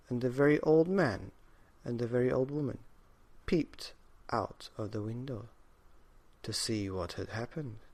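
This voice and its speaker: A man tells a bedtime story at a slow pace. His medium-pitched voice moves expressively, and he leaves deliberate, dramatic pauses between phrases. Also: gravelly, no echo. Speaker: podcast storyteller.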